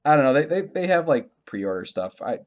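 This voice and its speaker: A man's voice: mid-range voice, big pitch swings, fairly quick. A man hosting a video game podcast, chatting casually about gaming news in a rambling, stammering way and often repeating himself.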